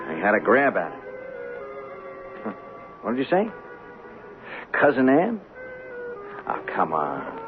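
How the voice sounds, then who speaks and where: An actor playing a young small-time hustler, weary and defensive, confessing in colloquial, hesitant radio drama style. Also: male voice, fairly low pitch. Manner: colloquial, hesitant, self-justifying. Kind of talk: radio drama acting, first-person confession. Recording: muffled, noisy old radio drama broadcast.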